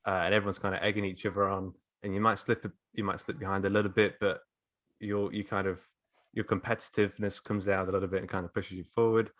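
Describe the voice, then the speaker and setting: A man's voice: on the low side, a bit bright, no room sound. A man chatting casually as a guest writer on a podcast panel.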